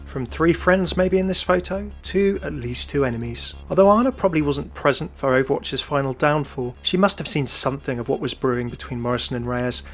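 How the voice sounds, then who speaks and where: A man's voice: a little high, brisk, hardly any pauses, a bit bright, faint music behind. A man presenting scripted video game lore commentary in a chatty, enthusiastic manner.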